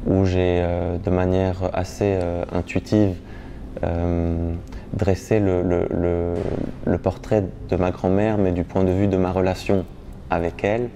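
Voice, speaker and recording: A performance artist from Lebanon speaking in an interview, pausing often with fillers and restarts. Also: male voice, low-pitched, flat delivery, warm tone, soft background music. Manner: hesitant, many fillers and restarts.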